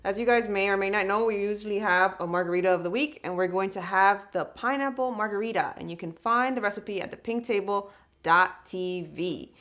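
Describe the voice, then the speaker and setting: A woman hosts a relaxed talk show, greeting viewers and asking questions with an easy laugh. Her voice is mid-pitched and plain. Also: brisk. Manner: friendly, laughs often, asks questions. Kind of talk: casual talk show hosting. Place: studio set at a table.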